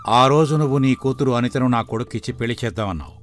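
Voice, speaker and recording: A man acts out a middle-aged family patriarch in a moral tale cartoon. He is greedy and used to ruling the house, with a mid-range voice over quiet music. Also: medium pitch, fast talker, lightly acted. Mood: smooth, evasive. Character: greedy, domineering middle-aged father and elder brother. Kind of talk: moral story cartoon dialogue.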